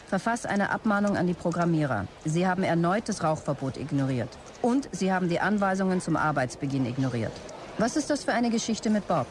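An actress playing a brisk, stressed, commanding start-up boss in a German audio drama, dictating messages curtly. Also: female voice, on the low side, animated, slight background noise, close to an everyday voice. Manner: curt, businesslike dictation of messages.

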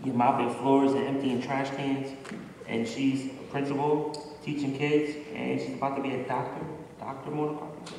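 A man's voice: medium pitch, flat delivery, normal speed, natural voice. A man acting a teasing, skeptical, streetwise friend in a live stage play. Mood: mocking. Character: teasing, skeptical male buddy, mocking and streetwise. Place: large gymnasium with a stage, indoors. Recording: echoey gym stage, distant, some noise.

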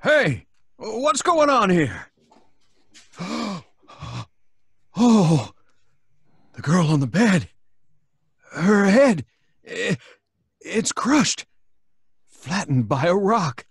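An actor playing a horrified newcomer in an old-time radio play, raspy and dramatic with shock. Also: medium pitch, sing-song, very slow, long pauses, no echo, exaggerated voice. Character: horrified newcomer discovering a gruesome death.

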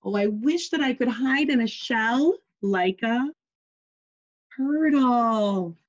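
A woman's voice: fairly high pitch, animated, slow pace, muffled recording. A woman voicing a small, wistful storybook chameleon who longs to be different. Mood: longing. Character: small chameleon, wishful, forever longing to be different.

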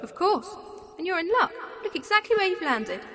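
A woman's voice: very high-pitched, very animated, a little fast, full character voice. An actress playing a clever, sarcastic Time Lady companion in an amateur audio drama. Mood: exasperated. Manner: sharp, sarcastic, exasperated.

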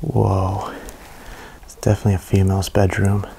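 A young man narrating a walkthrough of an abandoned mansion to a handheld camera, hushed and awed by what he finds. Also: low-pitched, monotone, normal speed, gravelly, heavy background noise. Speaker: urban explorer vlogger. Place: inside a large abandoned mansion.

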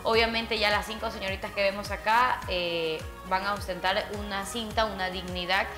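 A woman's voice: medium pitch, fairly even intonation, normal speed. Woman studio co-host on lapel mic, echoey room, music underneath. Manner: talkative, long flowing sentences. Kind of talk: studio news chat. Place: TV studio, indoors.

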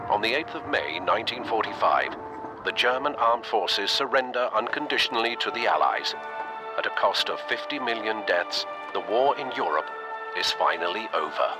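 A man reading scripted documentary-style war narration for a game cutscene in a grave, measured manner. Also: fairly low pitch, lively intonation, over music.

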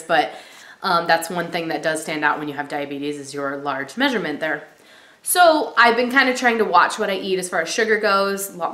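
A woman in her thirties giving a casual vlog update, chatty with many ums and likes, a little stuffy from a cold and clearing her throat. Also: mid-range voice, fairly bright tone. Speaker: vlogger. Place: small room indoors. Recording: roomy echo.

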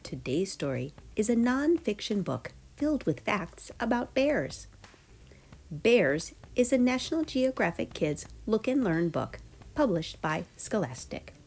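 Animated, unhurried woman reading to children. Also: medium pitch. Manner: clear, expressive, addressing young children. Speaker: storyteller, children's read-aloud host. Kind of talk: read-aloud of a children's nonfiction book. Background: background music.

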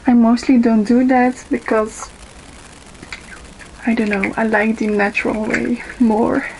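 Young woman, Dutch accent, makeup tutorial, slow with ums, fairly high voice, webcam in a bedroom. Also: flat delivery, gravelly, a little mellow, slight background noise, poor audio. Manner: unhurried, with frequent ums and pauses while applying makeup. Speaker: beauty vlogger. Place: small bedroom indoors. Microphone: webcam in front of her.

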